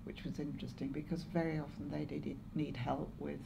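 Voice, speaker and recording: An older English woman in her seventies or beyond, reminiscing in an interview in a measured, halting way with pauses. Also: deep voice, level tone, vocal fry, noisy background. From Lancashire, northwest England. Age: 70s or older. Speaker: interviewee, longtime community volunteer. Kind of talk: oral history interview, reminiscing.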